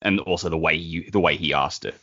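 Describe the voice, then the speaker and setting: A man's voice: very deep voice, brisk, pushed and bright, breathy edge, no echo, dull audio. A man co-hosting a casual podcast, telling wry anecdotes and joking about himself. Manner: wry storyteller, laughs at his own jokes. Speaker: podcast co-host.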